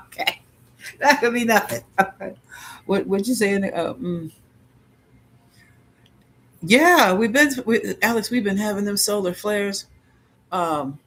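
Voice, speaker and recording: A man's voice: fairly high pitch, fairly bright tone, a little hiss or hum. A middle-aged man hosting a psychic livestream, answering viewer questions in a rambling, musing way and chuckling often.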